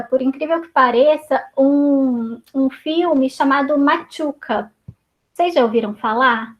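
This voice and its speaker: A woman with a Brazilian Portuguese accent, a teacher leading an online reading circle, chatty, with many fillers and restarts. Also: sits high. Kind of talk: online class discussion. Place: home, video call. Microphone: computer mic on a video call.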